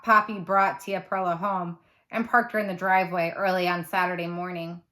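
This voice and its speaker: A woman narrating a children's novel aloud like a teacher, with expressive storytelling. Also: mid-range voice.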